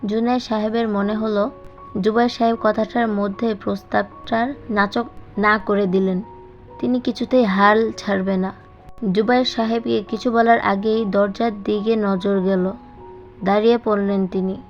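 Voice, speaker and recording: A woman's voice: mid-range voice, steady tone, moderate pace. Female storyteller, scripted dramatic narration, slightly lo-fi sound, music bed underneath. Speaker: audiobook narrator. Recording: slightly band-limited, music bed behind. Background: background music.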